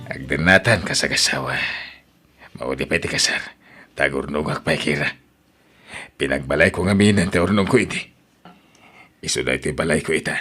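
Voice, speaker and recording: A man's voice: a bass voice, brisk, pauses often, sharp tone, soft background music. A man voicing a stern aging father of a grown daughter, blunt and scolding, in an audio drama. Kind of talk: Ilocano audio drama acting.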